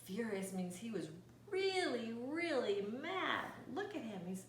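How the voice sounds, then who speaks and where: A woman's voice: fairly high pitch, very animated, a little slow. A woman reading a picture book aloud to young children, with gasps, exclamations and little asides explaining words. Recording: roomy echo, faint background noise.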